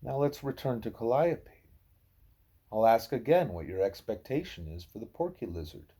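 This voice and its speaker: A man reading a novel of dialogue aloud in a soft, husky voice, with a measured, explanatory and expressive delivery. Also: medium pitch. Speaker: audiobook reader. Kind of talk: scripted narration of a novel in dialogue.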